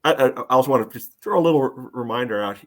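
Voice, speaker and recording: A man's voice: medium pitch, expressive, very fast. A man co-hosting a casual soccer podcast with a dry, emphatic, sardonic way of talking. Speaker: sports podcast co-host.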